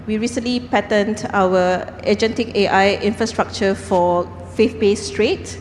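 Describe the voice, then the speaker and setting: A woman's voice: medium pitch, fairly even intonation, measured pace. A woman from Singapore speaking on a forum panel, earnest, with frequent fillers and restarts. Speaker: panel speaker, company founder. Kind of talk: panel discussion. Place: large conference hall. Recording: echoey hall, faint background noise.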